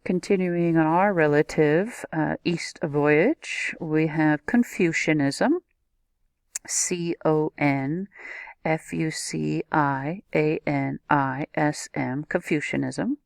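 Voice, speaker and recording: A woman's voice: deep voice, slow, creaky, no room sound, clean studio sound. A woman teaching a recorded video lesson, explaining step by step and speaking directly to the viewer. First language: not a native Japanese speaker.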